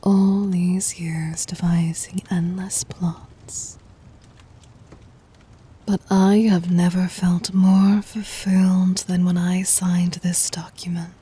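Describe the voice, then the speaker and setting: A woman playing a regal, adoring demon queen in an intimate ASMR roleplay, whispery and breathy, speaking formally with tender command. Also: on the low side, little pitch movement, slow pace, mellow, heavily acted. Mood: tender, devoted.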